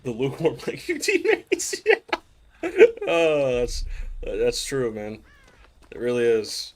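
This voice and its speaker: A man with a raspy, hoarse voice from a recent cold, chatting casually to his viewers while playing a game on a live stream. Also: medium pitch, huge pitch swings, slow pace, a little mellow. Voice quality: raspy throat. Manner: laid-back, chatty, slangy asides to chat. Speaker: gaming streamer. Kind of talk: casual live gameplay commentary.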